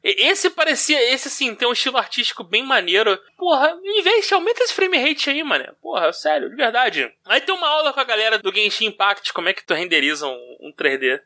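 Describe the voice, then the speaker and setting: Animated, swearing Carioca podcast host. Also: male voice, very high-pitched, a little fast, hardly any pauses. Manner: animated, swears freely, laughs often. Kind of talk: casual podcast chat reading listener lists.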